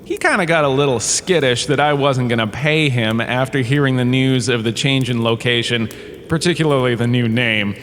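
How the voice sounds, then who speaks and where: A man's voice: medium pitch, moderate pace, fairly bright tone, close to an everyday voice. A man playing a washed-up, self-important holodrama star who gives rambling, breezy, self-excusing comedic lectures in an audio drama. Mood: wry, self-satisfied. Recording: cavernous reverb with faint background noise.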